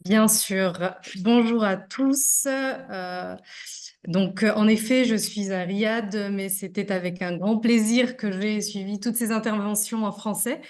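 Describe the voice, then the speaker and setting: A woman's voice: medium pitch, steady tone, slow pace, darkish tone. A woman who works as an urban development expert, summarizing a panel over a video call in an orderly way. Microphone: video call microphone.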